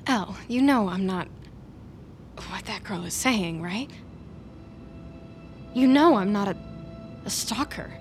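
A woman's voice: mid-range voice, lively intonation, slow, long pauses, soft background music. A woman playing an intense, wounded, obsessive young woman in an audio drama, talking in a wordy, sarcastic, insistent way. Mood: pleading. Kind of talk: audio fiction drama acting.

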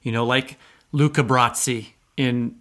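A man with a medium-pitched voice co-hosts a literary podcast. He talks casually and conversationally, working his ideas out as he speaks. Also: steady tone, a little slow, bright, forceful tone. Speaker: podcast co-host.

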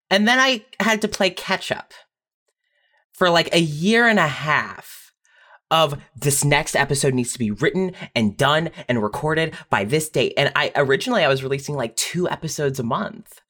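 Animated, self-deprecating storyteller. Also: mid-range voice, moderate pace. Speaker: audio fiction writer, podcast guest. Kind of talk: casual panel chat, telling own experience.

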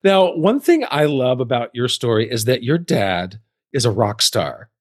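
A man's voice: mid-range voice, sing-song, a little slow, dry recording, clean studio sound. A middle-aged man hosting a podcast, moving between prepared narration and interview talk. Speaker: podcast host.

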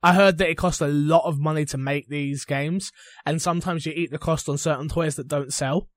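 A man's voice: a little high, steady tone, fairly quick, no echo, studio quality. A man chatting casually about games as a podcast panelist. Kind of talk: casual gaming chat.

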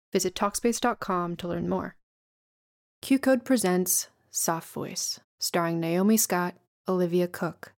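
A woman's voice: lowish voice, darkish tone. A woman giving a scripted podcast announcement as an announcer.

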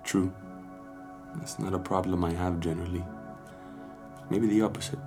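An actor playing a melancholy, awkward businessman with a hesitant, halting delivery in an intimate audio drama. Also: sits low, steady tone, natural voice. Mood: awkward, subdued. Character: melancholy, awkward businessman confiding his past. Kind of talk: intimate audio fiction acting. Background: music.

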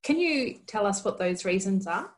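A woman hosting a podcast, reading a formal introduction and asking interview questions in a clear, measured way. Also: medium pitch, expressive. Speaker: podcast host.

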